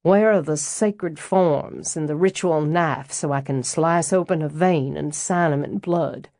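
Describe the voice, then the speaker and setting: A laid-back man with a drawl, as a woman narrator puts him on, teasing and flirtatious as he talks. The voice sits on the low side, recorded very dry and close. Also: a little slow, a little mellow, no room sound. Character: easygoing flirtatious southern man, teasing landscaper.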